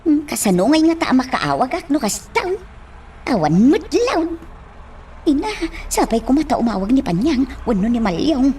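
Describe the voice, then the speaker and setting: An actress playing a flustered, scheming household helper in a radio drama, muttering to herself and stammering with exclamations. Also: female voice, high voice, sing-song, moderate pace, dark tone, distant mic, a little hiss or hum. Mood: anxious muttering. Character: flustered, scheming female household helper sneaking phone calls.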